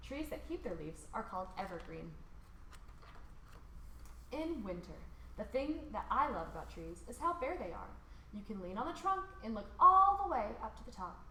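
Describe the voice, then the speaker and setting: An American woman hosting a read-along, reading a picture book aloud for children in an expressive, informal way. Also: medium pitch, normal speed. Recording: roomy echo, faint background noise.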